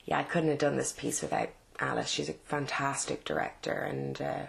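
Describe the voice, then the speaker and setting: A woman from Ireland with a Dublin Irish accent, an actress answering interview questions in a halting, casual way with many fillers and restarts. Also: low voice, level tone, vocal fry.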